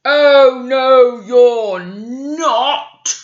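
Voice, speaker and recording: A woman voicing a big, hungry, greedy male troll in a children's story, loud and roaring. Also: high voice, extremely slow, highs cut off. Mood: threatening.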